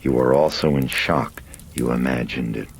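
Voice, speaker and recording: A man voicing a soft-spoken, soothing priest. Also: a bass voice, steady tone, moderate pace, vocal fry, a little hiss or hum. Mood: gentle. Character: calm, gentle male priest, concerned and soothing.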